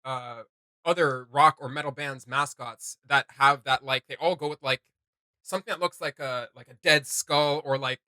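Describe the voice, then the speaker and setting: A man's voice: medium pitch, fairly even intonation, normal speed, bright, forceful tone, clean tone, no room sound. A man chatting casually as co-host of a reaction video, rambling and often searching for words.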